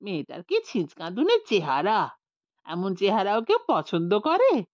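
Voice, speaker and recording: Woman acting a jealous, sulky young village wife, wildly swooping pitch. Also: wildly swooping pitch, unhurried, darkish tone, dry recording, dull audio. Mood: scornful. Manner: sarcastic, scolding, indignant.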